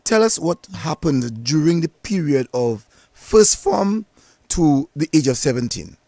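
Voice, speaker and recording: A man's voice: on the high side, big pitch swings, unhurried, dark tone. A man hosting a podcast, interviewing a guest with probing questions and repeated words as he cuts in. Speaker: podcast host.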